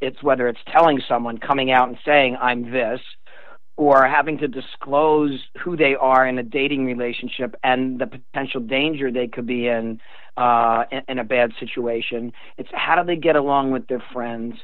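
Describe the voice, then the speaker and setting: A man's voice: medium pitch, fairly even intonation, normal speed, dry recording. An older man speaking as an interview guest, explaining things in an earnest, emphatic way. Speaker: guest author. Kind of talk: explanatory radio interview talk. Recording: tinny narrow phone line.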